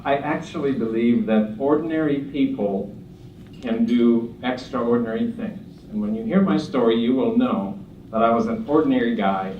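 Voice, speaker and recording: A man's voice: medium pitch, slight background noise. A man from Lebanon giving an earnest, humorous motivational speech at a conference, telling stories with jokes and asides. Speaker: speaker at a conference. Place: conference hall. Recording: distant roomy hall sound, dull.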